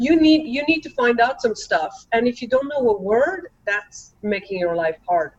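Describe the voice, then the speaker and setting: Brief: woman guest, fairly high voice, quick chatty delivery, dull lo-fi line. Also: sing-song. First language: Dutch. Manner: quick, chatty, many "you know" fillers. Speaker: guest, author and teacher. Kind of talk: casual interview conversation. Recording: dull lo-fi sound.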